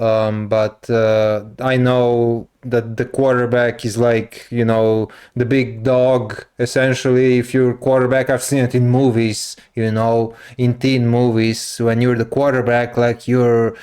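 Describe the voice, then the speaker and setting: A man's voice: medium pitch, level tone, takes it slowly, darkish tone, clean tone. A man in his thirties hosting a reaction video, talking casually with lots of fillers and frequent laughs. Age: 30s. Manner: rambling, filler-heavy, laughs often. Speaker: reaction channel host.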